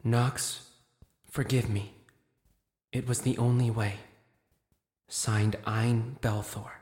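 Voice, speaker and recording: A man acts out a curious scholar in a fantasy audio drama, talking to himself at an unhurried pace. His reactions are expressive and dramatic, and the sound is dry and close. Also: mid-range voice, dry recording. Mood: hushed, solemn. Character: curious, bookish male scholar muttering to himself.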